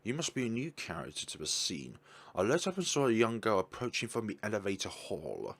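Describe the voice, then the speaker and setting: A man's voice: on the low side, huge pitch swings, fairly quick, husky. A man reading a visual novel's text aloud, stumbling and correcting himself, with casual asides about the game. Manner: stumbling reads with self-corrections.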